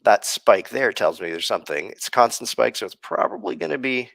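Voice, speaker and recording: A man hosting a podcast live stream, explaining things casually in a halting, thoughtful way with frequent pauses. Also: medium pitch, very animated, vocal fry, fairly bright tone, no echo. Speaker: podcast host.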